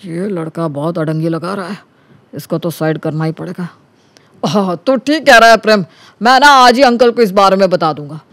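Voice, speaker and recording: Cartoon story role: male actor as sly young flatmate, notably high voice, glib teasing patter, dry recording. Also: moderate pace, no room sound. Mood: sly, muttering, then chummy, glib. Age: young adult. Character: cunning, smooth-talking young man scheming against his flatmate. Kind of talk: Hindi animated story dialogue.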